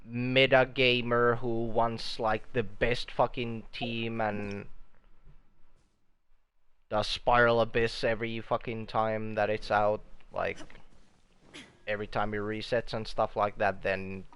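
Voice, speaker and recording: A man's voice: fairly low pitch, level tone, takes it slowly, a bit bright, clear, resonant tone. A man chatting casually as he streams a video game, laid-back and prone to swearing. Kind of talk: casual chat while playing a video game.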